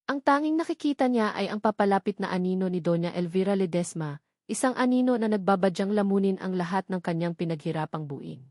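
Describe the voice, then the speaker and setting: A woman narrator with a Filipino accent tells a scripted romantic drama. Her delivery is measured and theatrical, broken into short phrases with pauses. Her voice sits at a medium pitch, in a close, dry recording free of room sound. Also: a little mellow, dry recording.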